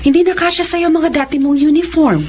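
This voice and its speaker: A woman's voice: high voice, steady tone, a little fast, darkish tone, a little hiss or hum, close to an everyday voice. A woman playing an affectionate middle-aged mother in a Filipino audio drama, with a Filipino accent.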